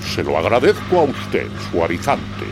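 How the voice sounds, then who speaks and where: A man's voice: deep voice, wildly swooping pitch, a little slow, over music, heavily acted. A man playing a grumpy, self-important talking bar of soap who lectures in a children's radio play. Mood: grumpy, lecturing.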